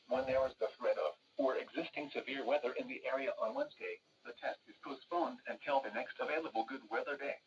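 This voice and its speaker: A man's voice: mid-range voice, animated, brisk, hardly any pauses. A man reading scripted weather bulletins and forecasts in formal, segmented phrasing over a radio broadcast. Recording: dull, noisy weather radio playback.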